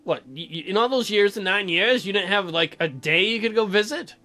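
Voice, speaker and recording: A man's voice: sits high, a little fast, sharp tone. A man hosting a let's play, mixing sarcastic, mocking asides with read-aloud narration of a visual novel.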